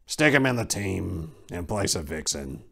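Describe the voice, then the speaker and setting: A man's voice: sits low, sing-song, a little slow, gravelly, fairly bright tone, full character voice. An indignant Santa Claus, acted out by a man, laying down the law with pompous authority. The voice swoops widely as he issues stern, bossy commands in a storybook tale. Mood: wary, scheming.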